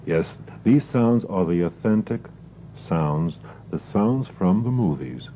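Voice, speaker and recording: A man hosting a radio music programme, presenting old records in an earnest, measured way. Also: deep voice, lively intonation, phone-quality sound. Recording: vintage radio aircheck with faint noise.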